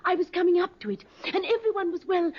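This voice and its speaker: An actress playing an eager, self-assured young village witness in an old radio drama. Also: female voice, very high-pitched, quick pace. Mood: eager, certain. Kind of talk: 1950s radio drama acting. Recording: muffled vintage radio recording.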